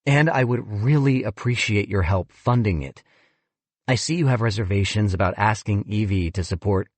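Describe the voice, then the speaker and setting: A man's voice: lowish voice, moderate pace, no echo, natural voice. A man voicing an earnest, frustrated male paleontologist who talks politely and pleads. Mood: earnest pleading.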